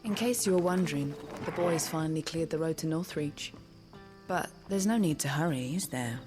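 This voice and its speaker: Low-voiced, flirtatious temptress played by a woman. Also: moderate pace, darkish tone, soft background music, close to an everyday voice. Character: flirtatious woman, suggestive and inviting. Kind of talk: video game drama acting.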